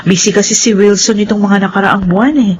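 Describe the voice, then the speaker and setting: An actress playing a devoted, apologetic Filipino housewife and dutiful daughter in a radio drama, polite and earnest. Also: female voice, medium pitch, steady tone, fairly quick, natural voice. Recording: dull radio sound, faint noise.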